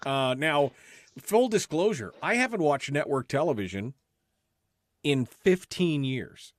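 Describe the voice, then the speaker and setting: A man's voice: fairly high pitch, lively intonation, unhurried, no echo, clean studio sound. A man hosting a radio show in casual talk-radio chat, with a folksy, blunt and opinionated way of talking.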